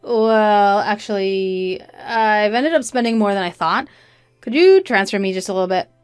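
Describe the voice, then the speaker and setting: A woman's voice: medium pitch, normal speed, smooth, dry recording, soft background music, natural voice. A woman voicing a flustered, evasive adult husband with a hesitant, pleading manner in a narrated story. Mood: sheepish. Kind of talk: acted dialogue in a narrated story.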